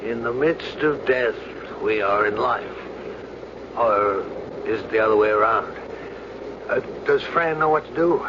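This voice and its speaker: An actor in a radio drama playing a weary but steady doctor forced to pilot an airliner. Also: male voice, on the low side, unhurried, lightly acted. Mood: wry, weary. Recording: dull, noisy old radio drama recording. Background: loud aircraft drone.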